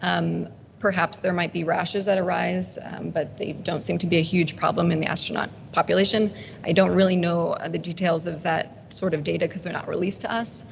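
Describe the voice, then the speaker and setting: Gravelly lady fielding science questions, lots of ums and uhs. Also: on the low side, a little hiss or hum. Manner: hesitant, thinking aloud. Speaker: conference speaker answering questions. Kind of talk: Q&A answers.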